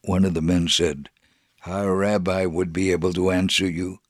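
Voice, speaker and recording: A man reading a scripted first-person religious narrative in a measured, solemn, dramatic way. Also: low-pitched, level tone, no echo, studio quality. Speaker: storyteller on a religious radio program.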